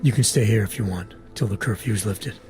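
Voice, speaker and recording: A low-voiced, gravelly male agent from a video game, played by an actor, guarded and terse. His delivery is clipped and deadpan. Music plays clearly behind him. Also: a little fast, close to an everyday voice. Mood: grim, thoughtful. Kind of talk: video game cutscene acting. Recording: music under the voice.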